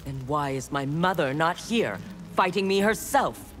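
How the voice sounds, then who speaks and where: A woman's voice: low voice, expressive, unhurried. A woman voicing a proud, ruthless young female ninja warrior in a video game, speaking in a cold, haughty, clipped way.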